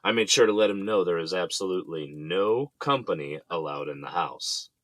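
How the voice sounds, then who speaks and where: A man playing a teasing, jokey husband and father in an audio drama, with a husky voice. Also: low voice, animated, normal speed, fairly bright tone, no room sound. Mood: playful. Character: playful, teasing middle-aged husband and proud father.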